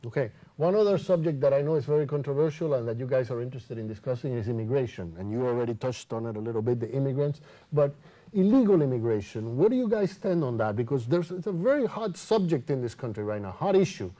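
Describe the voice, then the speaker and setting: A man's voice: medium pitch, lively intonation, fast talker, dark tone. A man leading a student discussion in a conversational interview style, prompting and rephrasing with questions. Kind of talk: moderated group discussion, conversational.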